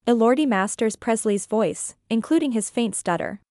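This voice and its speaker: Higher-voiced woman reading a scripted review. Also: moderate pace, dry recording, studio quality. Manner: earnest, opinionated, even read-out delivery. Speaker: reviewer and author. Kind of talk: scripted voice-over narration.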